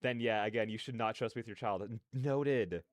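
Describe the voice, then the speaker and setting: A man's voice: fairly low pitch, moderate pace, no room sound, clean studio sound. A man hosting a livestream, chatting casually in a rambling, slangy, digressive way. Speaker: livestreamer hosting a reaction stream.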